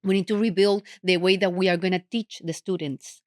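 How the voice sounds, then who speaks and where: A woman hosting a podcast interview, speaking haltingly and searching for words as she frames her questions. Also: on the low side, fairly even intonation, no room sound, studio quality. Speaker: podcast host.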